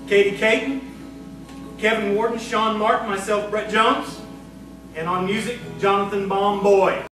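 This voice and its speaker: Male host for an audio theatre show, high-pitched, scripted storytelling, heard from a distance over clear music. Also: fairly even intonation, unhurried. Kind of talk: presenter's scripted narration to an audience. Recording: very echoey, distant hall recording with music.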